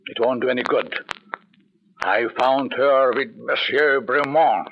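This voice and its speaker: An actor playing a jealous, anguished doctor husband in a melodramatic, intense old-time radio drama. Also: male voice, medium pitch, measured pace, creaky. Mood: angry, accusing. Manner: theatrical, intense. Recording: muffled narrow-band old radio, faint noise, clicks.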